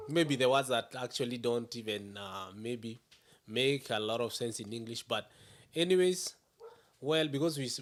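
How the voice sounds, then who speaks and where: A man from Kenya, a guest in a casual conversation, who speaks haltingly with many restarts and laughs now and then. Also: medium pitch. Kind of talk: casual podcast chat.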